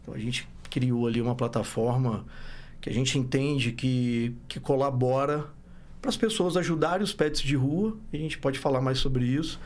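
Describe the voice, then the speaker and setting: A man's voice: mid-range voice, normal speed. A Brazilian man with a Minas Gerais accent, a startup founder talking casually as a podcast guest, rambling and often restarting his sentences. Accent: Brazilian Portuguese, Minas Gerais. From Brazil, born in Rio, raised in Belo Horizonte. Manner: rambling, self-correcting, frequent "né?".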